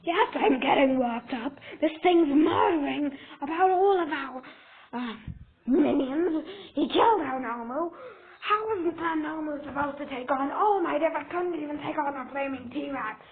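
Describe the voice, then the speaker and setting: A woman's voice: sits high, lively intonation, fast, hardly any pauses, voice pushed up. A woman voicing a panicky, exasperated villain's henchman in a story, frantic and repetitive as he rants and pleads. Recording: tinny, phone-like narrow band.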